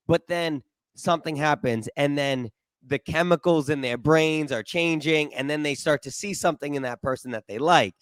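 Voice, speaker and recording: An earnest man in his late twenties rambles enthusiastically as he hosts, his voice sitting a little high for a man. The sound is dry and close, with no room around it. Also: dry recording. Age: late 20s. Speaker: podcast host. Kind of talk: casual interview chat.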